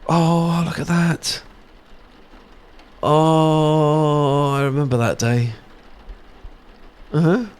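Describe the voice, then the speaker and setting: A British man playing an affectionate, playful young best friend in an intimate, casual ASMR audio roleplay, chatting and teasing with soft tag questions. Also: on the high side, fairly even intonation, very slow, long pauses, clear, resonant tone. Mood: playful, fond.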